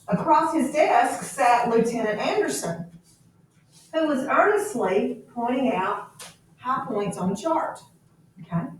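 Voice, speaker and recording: A woman's voice: on the high side, animated, takes it slowly, a little hiss or hum. A woman teacher reads aloud to a class and checks understanding with questions. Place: echoey indoor room. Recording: very echoey room, distant mic.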